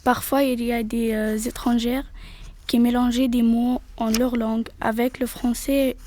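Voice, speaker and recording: A student in her late teens with an Arabic accent speaks in plain, simple sentences. Her voice is fairly high, dark and mellow, and her pitch stays flat. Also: measured pace, slight background noise. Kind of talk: personal testimony.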